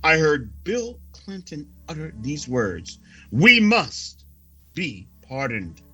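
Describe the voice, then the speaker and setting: A man reading prophecy as a sermon, solemn and declamatory, with dramatic pauses. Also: on the high side, very animated, sharp tone, breathy edge, soft background music. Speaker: preacher reading a prophecy report.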